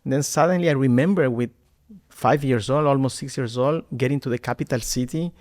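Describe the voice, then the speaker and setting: Man from Ecuador, mid-pitched voice, animated storyteller, repeats words when starting. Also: a little fast. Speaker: podcast guest, marketing executive. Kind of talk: conversational storytelling.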